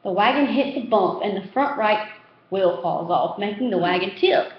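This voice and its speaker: A woman giving an animated read-aloud of a children's novel as its narrator. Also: medium pitch, moderate pace. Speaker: reader. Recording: roomy echo, slightly dull sound.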